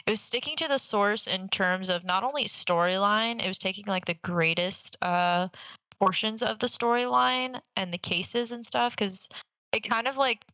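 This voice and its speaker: Gravelly, bright-voiced young woman chatting. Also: medium pitch, normal speed, no room sound, low-quality recording. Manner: enthusiastic, informal, rambling. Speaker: podcast co-host. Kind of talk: casual chat about games and films.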